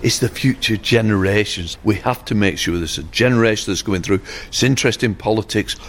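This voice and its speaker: A man's voice: on the low side, a little fast. A man, a member of parliament, talking earnestly in his office, repeating phrases for emphasis. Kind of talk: earnest on-location conversation.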